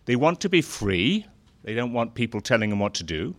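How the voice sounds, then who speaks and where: A middle-aged man and former foreign correspondent speaking to a gathering, halting with fillers at first, then growing more animated. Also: medium pitch, no room sound. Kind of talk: speech to an audience.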